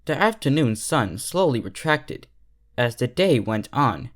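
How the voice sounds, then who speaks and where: A man's voice: medium pitch, sing-song, measured pace. A man reading a story aloud as scripted narration. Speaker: fan fiction reader.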